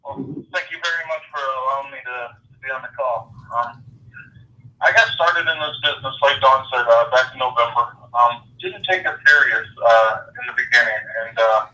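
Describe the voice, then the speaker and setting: Distant phone-line sound, man, mid-pitched, very bright edgy tone, informal guest testimonial. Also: normal speed. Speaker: guest member giving a testimonial. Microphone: phone call. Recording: distant, telephone-like conference call line.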